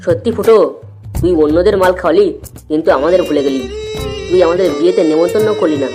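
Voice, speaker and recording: Voice actor as pushy young guy in a comedy cartoon, needling his friends in slangy banter, clear music bed. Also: medium pitch, fast, darkish tone, lightly acted. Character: cheeky young male drinking buddy, pushy organiser.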